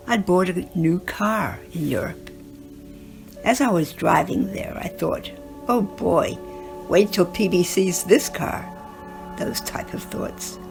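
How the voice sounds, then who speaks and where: Woman reading memoirs, slightly creaky, over music. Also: lowish voice, darkish tone. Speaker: reader of written testimonials. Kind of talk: narrated first-person recollections, read aloud.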